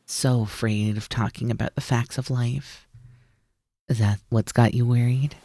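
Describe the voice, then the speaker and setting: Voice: medium pitch, fairly even intonation, measured pace, warm tone, no echo. A performer in an ASMR roleplay voicing a mischievous, teasing naga snake-demon roommate who coaxes playfully and likes to be in charge. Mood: playful, coaxing.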